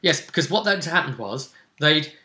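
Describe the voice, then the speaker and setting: Male game host, bright forceful voice, casual conversational recap of a tabletop story. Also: on the high side, lively intonation, moderate pace. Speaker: role-play session host.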